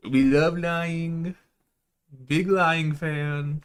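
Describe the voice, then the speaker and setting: A man's voice: fairly high pitch, a little hiss or hum. A man doing casual game stream commentary, swearing freely and ranting in exasperation as he plays. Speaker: streamer playing and reading a visual novel aloud.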